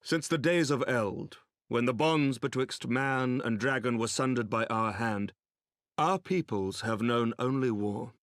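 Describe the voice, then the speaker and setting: A man playing an earnest, resolute young noble leader in a fantasy game, with formal, measured oratory. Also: medium pitch, a bit bright, dry recording, clean studio sound, natural voice. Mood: grave.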